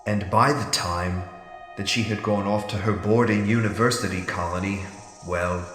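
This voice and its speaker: A man playing an ageing, regretful scientist in an audio drama monologue, speaking formally and broodingly with heavy pauses. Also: on the low side, steady tone, fairly bright tone, natural voice. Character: ageing, regretful scientist fighting off an alien conditioning in his mind. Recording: echoey reverberant hall with music underneath. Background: music.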